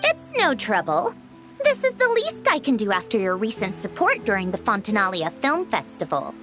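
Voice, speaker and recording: A woman playing a gracious, composed young woman in a video game, speaking in a courteous, measured and sincere way. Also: medium pitch, huge pitch swings, fairly bright tone, soft background music.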